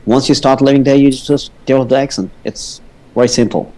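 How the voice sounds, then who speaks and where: A man's voice: mid-range voice, steady tone, fairly quick, dark tone. A man from India with an Indian English accent and Punjabi as his first language, chatting casually as a guest and stammering, often restarting his sentences. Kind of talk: casual livestream chat.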